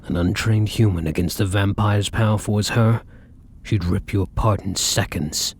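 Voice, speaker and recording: A man playing a devoted, courtly vampire lover in an ASMR roleplay, with a husky, breathy voice and formal, flowery endearments. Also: on the low side, fairly even intonation, moderate pace, slight background noise. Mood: grave, urgent. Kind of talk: ASMR roleplay, scripted romantic monologue.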